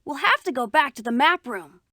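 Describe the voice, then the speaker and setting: A woman voicing a clever, eager young boy adventurer in a cartoon computer game, excited and earnest. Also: high-pitched, brisk, no echo, studio quality.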